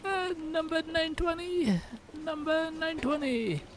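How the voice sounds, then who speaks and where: A man voicing a high, polite underworld office clerk who calls out numbers in a slow, official way. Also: crowd noise. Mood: officious. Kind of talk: audio roleplay acting.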